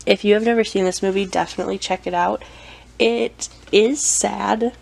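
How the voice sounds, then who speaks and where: Young adult woman, vlogger with a cold; gravelly, chatty, relaxed show-and-tell. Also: mid-range voice, normal speed. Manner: chatty, with frequent ums and self-corrections. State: has a cold. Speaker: collector showing her anime collection. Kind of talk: casual show-and-tell vlog.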